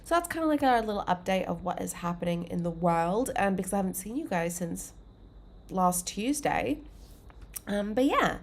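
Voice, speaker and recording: A woman's voice: medium pitch, lively intonation, normal speed. A woman hosting her own livestream, chatting casually and full of fillers, a bit congested and under the weather. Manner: chatty, filler-heavy, upbeat. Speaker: livestream host.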